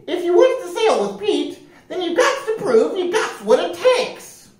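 A man voicing a bossy, loud pet parrot who barks out orders in a children's pirate storybook. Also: extremely high pitch, big pitch swings, normal speed, voice pushed up. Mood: bossy announcing. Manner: loud, commanding announcer of orders. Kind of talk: animated children's storybook read-aloud. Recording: roomy echo, distant microphone.

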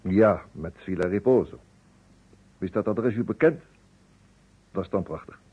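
An actor playing a brusque, sardonic chief police detective in a radio detective drama. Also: male voice, sits low, measured pace, breathy edge. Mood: sardonic, impatient. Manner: curt, sardonic. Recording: dull, muffled old radio recording.

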